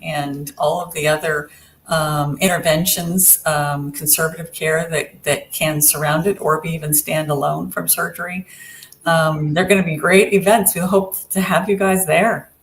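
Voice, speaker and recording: A woman therapist co-hosting an online panel, talking in a measured, steady way. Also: sits low, slight background noise, low-quality recording. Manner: measured, steady, with frequent affirming murmurs. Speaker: lymphedema therapist and co-host. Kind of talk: casual online panel chat.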